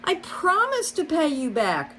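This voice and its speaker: A woman's voice: sits high, wildly swooping pitch, measured pace, bright, forceful tone, a little hiss or hum, pitched above the performer's own voice, exaggerated voice. A meek old male mole, as a woman puts him on, stammering apologies in a thin, raised voice. He sounds poor and frightened, and he begs more than he speaks.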